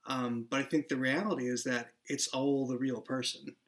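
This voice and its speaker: A man speaking as a writer and podcast creator in a reflective, conversational discussion, earnest and a little halting as he works through his thoughts. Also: mid-range voice, fairly even intonation, fairly bright tone.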